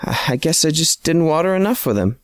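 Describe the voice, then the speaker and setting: A man's voice: fairly high pitch, big pitch swings, a little fast, no echo, studio quality, pitched above the performer's own voice. A man voicing a flustered woman homeowner who talks hesitantly and apologetically. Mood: apologetic.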